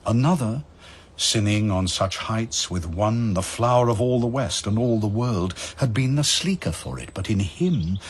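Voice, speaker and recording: A low-voiced man narrates scripted verse drama, solemn and theatrical. His delivery is slow with wide swings of pitch, over faint ambience. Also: lively intonation, slightly rough.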